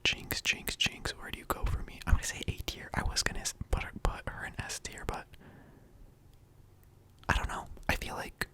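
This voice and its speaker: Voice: moderate pace, sharp tone. A person whispering casual, rambling ASMR-style commentary as a video host, in a hesitant and playful manner. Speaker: video host ranking game characters.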